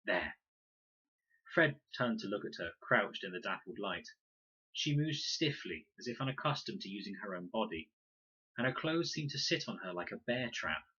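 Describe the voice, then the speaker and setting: A man reading a children's audiobook in an expressive, dramatic storytelling manner. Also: fairly low pitch, moderate pace, breathy edge, muffled recording. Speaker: reader.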